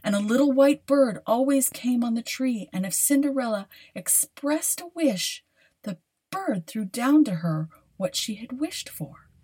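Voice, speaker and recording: Woman reading a dark fairytale, really husky n breathy, pitch moves around a lot. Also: expressive, measured pace. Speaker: podcast host and storyteller. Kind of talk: dramatic fairy-tale narration from a script.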